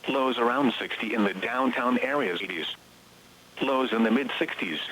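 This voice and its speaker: A man's synthetic voice reading scripted automated weather bulletins in a flat, measured way, as heard on a weather radio broadcast. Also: mid-range voice, creaky. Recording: weather radio broadcast, slightly muffled sound.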